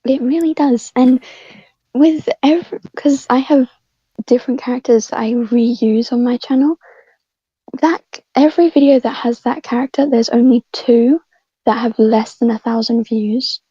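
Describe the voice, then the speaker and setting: A woman with a highish, soft and breathy voice chats casually as a podcast guest. The recording is dull and slightly lo-fi. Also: steady tone, unhurried, no echo. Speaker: voice actor guest. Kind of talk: casual chat.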